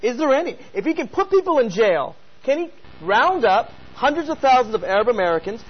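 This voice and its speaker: Fast, high-voiced male radio host. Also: sing-song, husky, highs cut off. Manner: pressing, rapid questioning. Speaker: radio talk show host. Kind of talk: call-in radio debate.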